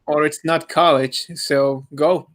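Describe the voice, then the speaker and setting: A man's voice: on the high side, fairly even intonation, takes it slowly, a bit bright. A young man talking casually as a member of a group podcast.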